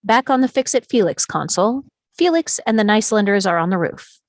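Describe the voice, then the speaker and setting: A woman's voice: mid-range voice, animated, brisk, dry recording, clean studio sound. A woman narrating scripted stage directions at a screenplay table read.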